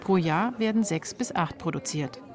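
A woman's voice: fairly low pitch, animated, normal speed. A woman reporter reading scripted narration for a radio feature.

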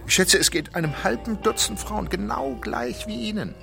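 An actor playing a coaxing, reassuring couples therapist in an audio drama, with a persuasive, soothing way of talking. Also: male voice, sits high, normal speed, vocal fry, over music. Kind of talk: scripted audio drama dialogue.

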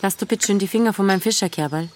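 A woman's voice: medium pitch, racing, slight background noise, lightly acted. A woman playing a cheeky, flirtatious village woman in a Bavarian dialect audio drama, teasing and blunt. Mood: brusque. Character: Marei, cheeky flirtatious village woman, quick-tongued and brusque.

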